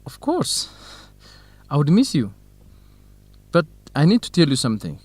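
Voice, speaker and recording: A man's voice: fairly high pitch, huge pitch swings, measured pace, a little mellow, dry recording, full character voice. An actor playing a dutiful, determined young man from Ethiopia in a radio drama for English learners. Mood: confiding. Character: young Ethiopian farm son, dutiful, determined, quietly lovestruck.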